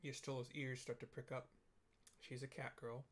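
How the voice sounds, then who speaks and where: A man's voice: mid-range voice, flat delivery, moderate pace, fairly bright tone. A young man casually narrating a game cutscene in a rambling way, full of "like" and "kinda.". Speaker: let's play narrator.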